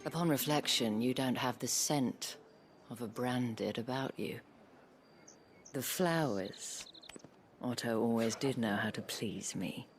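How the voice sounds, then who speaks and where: An actress playing a sly, elegant, teasing madam in a video game, with a sultry, half-whispered, genteel delivery. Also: female voice, extremely low pitch, takes it slowly, slight background noise, exaggerated voice. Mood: coy, seductive. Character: sly, elegant madam of a pleasure house, teasing and self-assured. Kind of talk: video game cutscene acting. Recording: music behind the voice.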